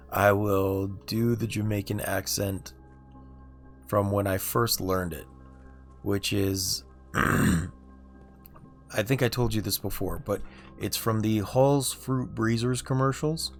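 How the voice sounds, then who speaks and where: A man streams a game and talks it through in a relaxed, muttering way. His voice sits low and gravelly, with a fry on the ends of phrases. Soft game music runs behind him. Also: measured pace. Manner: low-key, muttering to himself. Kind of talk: casual gameplay commentary.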